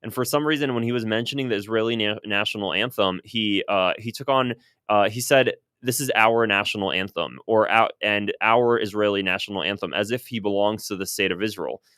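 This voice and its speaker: A man's voice: lowish voice, brisk, fairly bright tone, clear, resonant tone, dry recording, clean studio sound. An American man giving unscripted, opinionated political commentary in an emphatic, insistent way, repeating phrases for stress. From United States.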